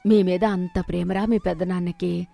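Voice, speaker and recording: A woman voicing a fond, gently chiding elderly village aunt in a storybook tale. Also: lowish voice, fairly even intonation, moderate pace, gravelly, faint music behind, voice pushed down.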